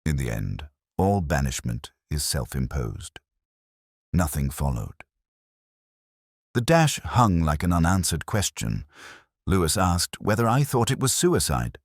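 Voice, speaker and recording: Very deep male voice, detective fiction narration, measured literary delivery, formal, close dry sound. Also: big pitch swings, creaky, dry recording. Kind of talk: scripted first-person detective story narration.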